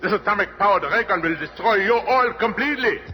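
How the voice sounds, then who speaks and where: A gloating mad scientist saboteur, with a high, piercingly sharp voice. He is menacing and theatrical, in the manner of 1970s superhero radio drama. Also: lively intonation, a little fast.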